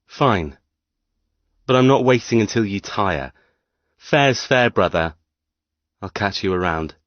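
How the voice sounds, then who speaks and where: A male actor plays a young rival suitor, easygoing and coaxing. His voice is fairly low, soothing yet quietly defiant, in audiobook dialogue recorded dry. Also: lively intonation, slow, no echo, highs cut off.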